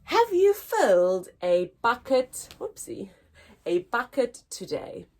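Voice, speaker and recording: Slow, animated woman reading to children. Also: fairly high pitch. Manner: expressive, deliberate teacher-like delivery addressed to young children. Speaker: story time host reading picture books aloud. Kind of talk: children's storybook read-aloud.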